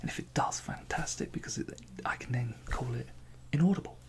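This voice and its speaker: A man whispering ASMR-style into a close microphone covered by a fluffy windshield, rambling softly with playful, self-mocking asides while he films himself on a phone. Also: medium pitch, fairly even intonation, a little fast, gravelly. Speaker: ASMR creator.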